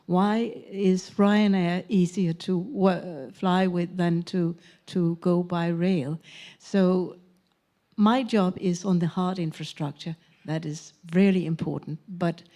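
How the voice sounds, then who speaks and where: Lady on a conference panel, lowish mellow voice, slow thoughtful talk with lots of uh's. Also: steady tone. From Denmark. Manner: measured, thoughtful, pauses with fillers. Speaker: conference panelist, former parliament member.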